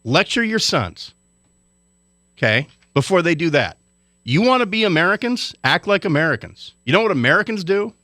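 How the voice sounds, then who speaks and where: A man's voice: sits high, big pitch swings, normal speed, sharp tone, dry recording, clean studio sound. A man from Hawaii delivering an indignant, lecturing radio commentary rant.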